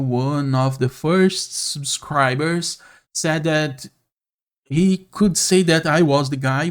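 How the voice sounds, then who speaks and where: A man from Brazil with a Brazilian Portuguese accent, a non-native English speaker, hosting an unscripted monologue straight to camera, earnest and slow with frequent pauses. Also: medium pitch. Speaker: YouTube channel host. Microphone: camera mic, speaking to camera.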